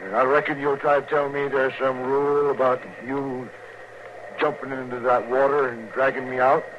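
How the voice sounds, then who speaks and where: A man's voice: medium pitch, flat delivery, moderate pace, a bit bright, close to an everyday voice. Drawling, sullen Western outlaw played by a man. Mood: sullen, puzzled. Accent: rural Western American. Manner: drawling, uneducated folksy speech. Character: sullen, distrustful cowboy cattle rustler under arrest. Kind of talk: 1950s radio western acting. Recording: muffled, noisy 1950s radio recording.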